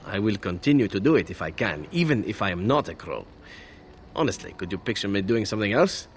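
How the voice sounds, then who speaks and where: Suave elf assassin, lively pitch. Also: male voice, fairly quick, slight background noise. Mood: proud, relishing. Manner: flamboyant, silky, theatrical. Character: suave, roguish elf assassin, proud and unrepentant. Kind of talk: fantasy video game dialogue.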